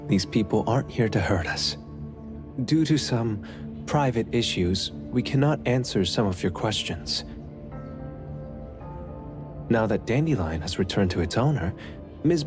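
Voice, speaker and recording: A calm, enigmatic anime game man acted by a man with a lowish voice. He explains politely and in measured phrases, over clearly audible music. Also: close to an everyday voice. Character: calm, courteous, enigmatic man keeping a supernatural pawnshop.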